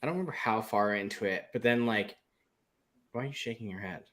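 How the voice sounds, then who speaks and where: A man chatting casually as a panelist on a talk show, in a halting, conversational way with trailing asides. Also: fairly low pitch, fairly bright tone. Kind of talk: casual chat.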